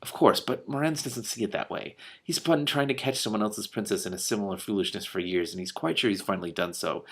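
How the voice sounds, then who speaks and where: A man reading a storybook as a composed, shrewd female dragon, with a measured, dry delivery. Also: lowish voice, a bit bright, slightly rough. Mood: dry, knowing. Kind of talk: storybook read-aloud.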